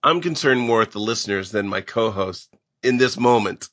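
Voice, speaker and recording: A man co-hosting a casual panel discussion about old-time radio, speaking haltingly with pauses. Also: lowish voice, animated, a bit bright, dry recording, muffled recording. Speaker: podcast co-host.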